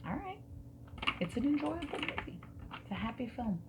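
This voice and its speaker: A woman playing a friendly dating service interviewer in a soft-spoken ASMR roleplay, breathy and often slipping into a whisper, gentle and reassuring. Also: high voice, sing-song. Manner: gentle, unhurried, reassuring, asking questions. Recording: background noise with keyboard typing and clicks.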